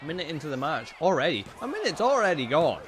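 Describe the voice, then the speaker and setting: A young man doing lively, self-amused play-by-play of a wrestling video game, repeating each call, his voice getting hoarse. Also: a little high, quick pace, background noise. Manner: excitable, repeats each call, laughs at himself. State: voice going hoarse. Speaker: gaming channel host.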